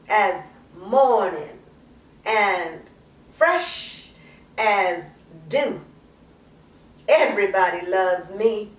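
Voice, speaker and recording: A woman performing a playful poem as sassy, flirtatious women boasting of their charms, teasing and savoring each phrase. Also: mid-range voice. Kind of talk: playful dramatic poetry reading. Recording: roomy echo, distant microphone.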